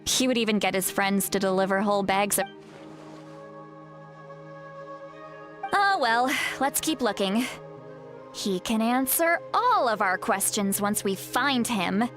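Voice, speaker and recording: A woman voicing a kindly elderly shaman in a video game who strives to sound youthful, chatty, self-assured and gently guiding. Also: mid-range voice, animated, normal speed, faint music behind, natural voice. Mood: fond.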